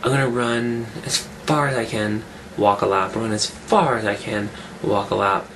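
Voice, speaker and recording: A man rambles through a casual update, his voice roughened by a cold he is getting over. He sits on the low side and keeps circling back to restart his sentences. A steady hiss of noise runs under the recording. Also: moderate pace. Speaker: fitness vlogger. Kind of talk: casual weekly update vlog.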